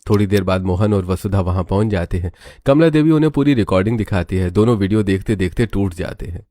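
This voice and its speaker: A man's voice: sits low, animated, quick pace, warm tone, no echo, studio quality. A man reading scripted, dramatic narration for a cartoon story.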